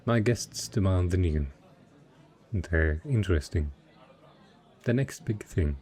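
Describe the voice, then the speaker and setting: Man acting a deep, husky, suave merchant lord. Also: animated, slow, darkish tone, exaggerated voice. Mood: courteous, intrigued. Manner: courtly, formal, smooth-talking flirtation. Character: wealthy male merchant patron, secretly a dragon, suave and possessive. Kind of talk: romantic fantasy audio roleplay addressed to the listener.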